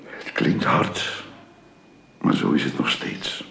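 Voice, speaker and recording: A man's voice: extremely low pitch, very animated, takes it slowly, gravelly, a bit bright, in a whisper, heavily acted. An actor playing an earnest, protective middle-aged father in a radio drama, speaking in a solemn, paternal way. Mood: gentle, grave.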